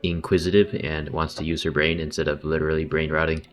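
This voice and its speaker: Low-voiced man, wry gaming streamer. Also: little pitch movement, fast, over music. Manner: slangy, wry, offhand running commentary. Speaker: livestreamer. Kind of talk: casual gaming stream commentary.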